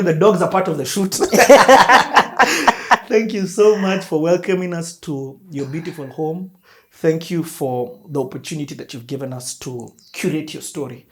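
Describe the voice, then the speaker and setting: A man's voice: on the high side, a little hiss or hum. A man hosting a podcast, introducing his guest with rallying, crowd-pumping energy in her home. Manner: hype-building, crowd-rallying delivery with loud surges. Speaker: podcast host. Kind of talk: energetic show introduction and conversation.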